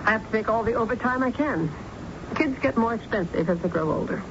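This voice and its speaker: A woman's voice: fairly high pitch, animated, fairly quick, natural voice. An actress with an American accent playing a hard-bitten, ambitious working mother and deserted wife in an old-time radio drama. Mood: hard, determined. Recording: dull, very noisy old radio broadcast.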